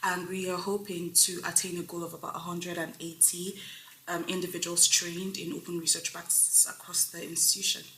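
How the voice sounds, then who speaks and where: Woman guest, low voice. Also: level tone, bright, forceful tone, slight background noise. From Nigeria. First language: Igbo. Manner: measured and explanatory. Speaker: guest, open research adviser. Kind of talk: interview answers. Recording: roomy echo.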